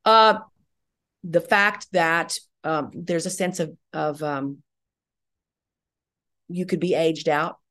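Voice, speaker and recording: A woman's voice: sits low, fairly even intonation, slow, pauses often, a bit bright. A middle-aged woman speaking as an interview guest, candid and emphatic in a reflective conversational way. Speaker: guest, audio drama writer and director.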